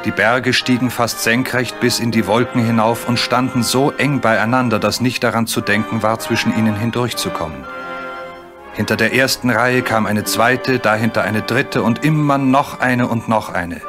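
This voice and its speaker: A man's voice: medium pitch, normal speed. A man narrating a scripted children's story as its storyteller. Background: music.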